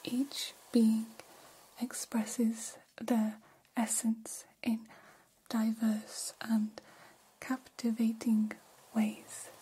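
A woman voicing a gentle, curious humanoid alien in whispered ASMR roleplay, speaking in soothing, stilted, formal phrases. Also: fairly high pitch, flat delivery, drawn-out words, heavily acted, low-quality recording. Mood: awed, gentle. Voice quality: breathy whisper.

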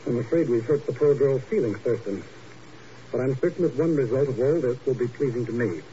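Male actor as smooth, guarded doctor, formal and urbane. Dark mid-range tone, dull old radio drama sound. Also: medium pitch, brisk, natural voice. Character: suave, guarded hospital doctor, courteous and slightly patronizing. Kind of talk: 1950s radio drama acting. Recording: muffled, noisy old radio recording.

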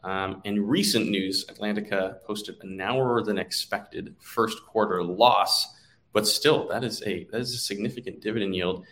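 A man's voice: lowish voice, fairly even intonation, moderate pace, a bit bright. A man co-hosting a stock market show, talking through market commentary in a conversational style.

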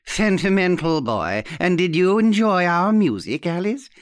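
Man voicing a slow, suave, sly old aunt. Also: high voice, sing-song, no room sound, clean studio sound, voice pushed up. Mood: mock-sentimental, theatrical. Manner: suave, smooth, drawn-out delivery with satirical edge. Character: sly, theatrical, mocking old aunt, falsely sweet. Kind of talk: dramatic audiobook character reading.

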